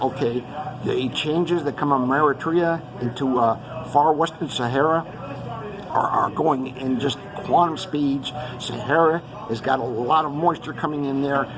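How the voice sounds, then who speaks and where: A man's voice: mid-range voice, normal speed, crowd noise, low-quality recording. A man who grew up around Los Angeles gives a rambling monologue, listing one place after another with many fillers. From Los Angeles area, California. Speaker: host giving an earth-changes briefing.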